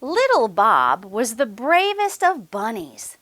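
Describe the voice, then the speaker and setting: A woman's voice: a little high, wildly swooping pitch, slow pace, fairly bright tone, no echo. A woman hosting a children's story time, reading aloud and chatting encouragingly with simple questions for young listeners. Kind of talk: children's read-aloud and chat.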